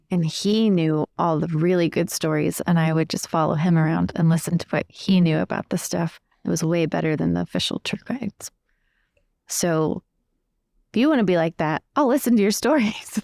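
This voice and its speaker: A woman with a slightly low voice speaks in a chatty, explanatory way, making up a tale as she goes. The sound is dry, with very little room in it. She talks like a player at a tabletop story game. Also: gravelly, a little mellow, no echo. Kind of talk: improvised in-character storytelling, conversational.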